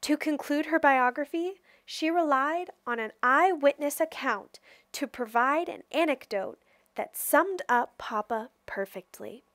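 A woman reading a children's picture book aloud with careful, expressive storytelling delivery. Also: high-pitched, a little slow, no room sound, clean studio sound. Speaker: storybook reader.

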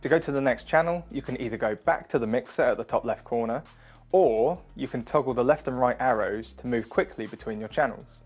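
A man in his thirties presenting a scripted product demonstration in a clear, instructional manner. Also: mid-range voice, fairly quick. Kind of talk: scripted product review narration. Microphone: wireless lavalier or close studio mic. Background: background music.

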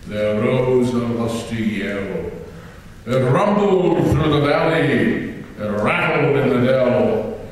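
Older male teacher reciting verse from a lectern, unhurried and theatrical, heard from afar in a reverberant hall. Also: slight background noise. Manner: reads from the page with swelling emphasis and lively shifts of pitch. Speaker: teacher reading a poem aloud. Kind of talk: dramatic poetry recitation. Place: large hall, on a stage. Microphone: lectern microphone heard from a distant camera. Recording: echoey hall, voice from far off.

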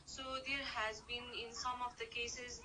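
A young woman from Nepal on a video call, talking hesitantly with many pauses. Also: on the high side, little pitch movement, sharp tone, a little hiss or hum, low-quality recording. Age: young adult. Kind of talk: casual phone conversation. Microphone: phone video call.

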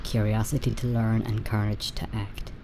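An actor as disembodied spirit messenger, detached, measured, cryptic, radio drama, faint noise behind. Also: medium pitch, level tone, gravelly, close to an everyday voice. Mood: calm, detached. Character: calm, cryptic spirit messenger bearing news. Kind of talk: radio play acting.

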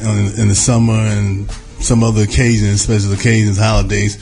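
An older man from New York answering interview questions slowly, with many pauses and fillers. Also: on the low side, flat delivery, a little mellow, faint music behind. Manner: slow, hesitant, many fillers. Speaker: guest.

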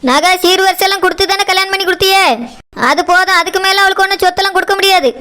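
A woman's voice: extremely high pitch, little pitch movement, very fast, sharp tone. A woman playing a selfish, hard-hearted daughter-in-law in a family drama skit, curt and dismissive, with a southern Tamil Nadu accent. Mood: cold defiance. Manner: scolding, dismissive.

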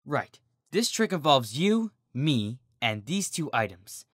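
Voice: medium pitch, sing-song, takes it slowly, slightly rough, no echo, studio quality, heavily acted. A narrator voicing a mischievous young dragon boy in a theatrical, exaggerated way for a cartoon fan story. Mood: scheming.

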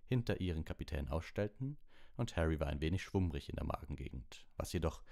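Man, low voice, audiobook narrator, scripted bedtime storytelling. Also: lively intonation, a little fast, a little mellow.

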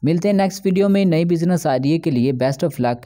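A man, hosting a business channel and narrating an explainer video as a voice-over, speaking directly to his viewers with frequent drawn-out filler sounds. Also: a little high, mellow.

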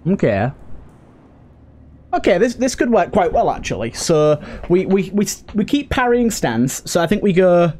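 Higher-pitched man doing game commentary. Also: darkish tone. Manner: mutters to himself while thinking through moves. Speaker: gaming video host. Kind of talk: casual let's play commentary. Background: game music.

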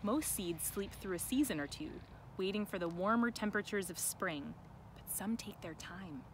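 Woman, children's read-aloud, expressive, deliberate, mid-pitched, outdoor camera audio, clear background noise. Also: low-quality recording. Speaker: educator reading a picture book aloud to children. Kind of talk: read-aloud with chatty asides. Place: outdoors in a sunny farm garden. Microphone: camera held by another person nearby.

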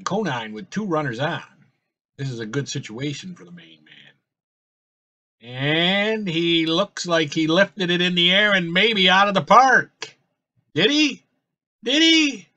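A man calling a simulated baseball game in casual play-by-play, his voice slightly raspy from a cold, rambling and correcting himself as he goes. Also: fairly high pitch, bright, forceful tone. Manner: rambling, with fillers and self-corrections. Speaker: host playing a baseball sim.

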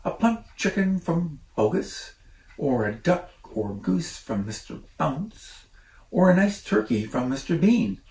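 A man's voice: a little high, sing-song, measured pace, darkish tone, pitched above the performer's own voice. An older man voicing a suave, affectionate fox husband from a storybook, courteous and relishing the choices he offers. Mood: fond, eager. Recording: roomy, distant mic, faint noise.

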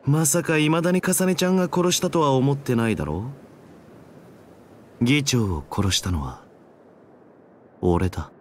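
A man's voice: medium pitch, moderate pace, pauses often, a little hiss or hum, lightly acted. A man voicing a flippant, cynical young assassin in a Japanese video game, with a slangy, teasing drawl. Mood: cool confessing.

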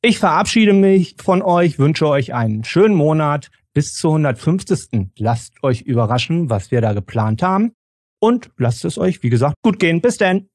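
A man's voice: a little high, lively intonation, normal speed, darkish tone, no echo, clean studio sound. A man hosting a podcast, chatting casually and presenting segments. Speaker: podcast host. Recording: music bed behind voice.

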